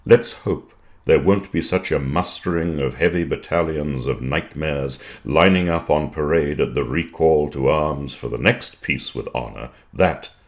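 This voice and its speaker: A man reading poetry in a measured, dramatic style, with deliberate, precise phrasing and weighted pauses. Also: a bass voice. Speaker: poetry reader.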